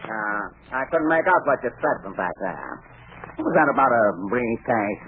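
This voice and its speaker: Suspicious hired killer, American accent, tough talk, varied pitch, thin 1940s radio recording. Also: male voice, very animated, moderate pace, vocal fry. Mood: businesslike. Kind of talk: 1940s radio comedy acting. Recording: noisy narrow-band 1940s radio recording.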